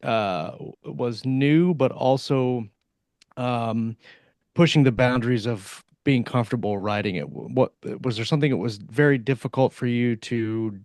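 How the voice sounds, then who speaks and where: Man, medium pitch, podcast co-host, halting casual questioning, dry recording. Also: a little mellow, no room sound. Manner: halting, searching for words.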